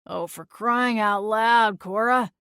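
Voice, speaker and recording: A woman voicing a gruff, dismissive male sheriff in a storybook reading, talking in an exasperated, lecturing way. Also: a little high, slow pace, a bit bright, no room sound, clean studio sound. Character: gruff dismissive small-town male sheriff, long-winded.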